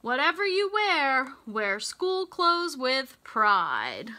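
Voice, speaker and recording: An American woman reading a picture book aloud to young children, in a deliberate, sing-song teacher's delivery with emphasized words. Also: sits high, very animated, pushed and bright. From United States. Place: small indoor room with racks of hanging clothes.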